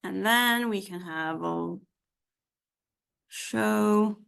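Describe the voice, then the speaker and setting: A woman teaching a software tutorial informally, thinking aloud as she works through each step. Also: mid-range voice, drawn-out words, creaky, clean tone. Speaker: workshop instructor.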